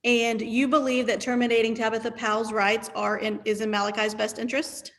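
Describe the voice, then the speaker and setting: Female attorney, gravelly edge, methodical courtroom questioning over a video call. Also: on the high side, level tone, a little fast, fairly bright tone. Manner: formal, methodical yes-or-no questions.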